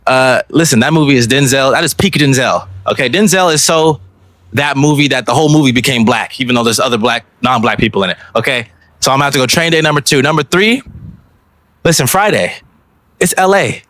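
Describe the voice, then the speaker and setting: A man's voice: medium pitch, animated, a little fast, a bit bright, dry recording. A man hosting an on-location podcast interview outdoors at a film festival, talking in a hyped, slangy, playful way. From Los Angeles. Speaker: podcast host interviewing filmmakers.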